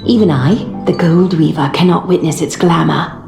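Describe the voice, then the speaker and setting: A woman voicing a composed, gracious and wealthy young seamstress in a video game, poised and gently teasing. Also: low-pitched, steady tone, moderate pace, vocal fry, reverberant. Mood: composed, intrigued. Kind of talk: acted video game dialogue. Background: background music.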